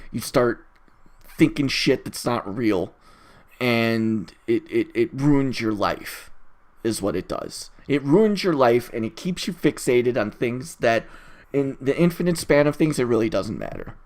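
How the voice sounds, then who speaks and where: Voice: mid-range voice, expressive, unhurried, a little mellow. A person recording a casual solo audio log, rambling in an opinionated, exasperated and emphatic way with frequent tangents and swearing. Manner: rambling, easily distracted, opinionated.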